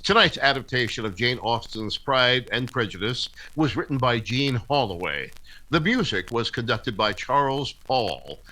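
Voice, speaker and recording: A man's voice: medium pitch, lively intonation, measured pace, pushed and bright, low-quality recording. A man reading scripted announcements and narration in the style of a 1940s radio announcer. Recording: music under the voice.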